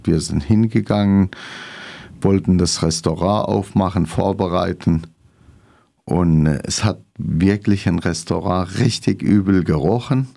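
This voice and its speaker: A low, gravelly man answers an interviewer's questions. He speaks hesitantly, with many fillers and grammar. His voice is dark and mellow. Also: a little slow. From Syria. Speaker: restaurant owner.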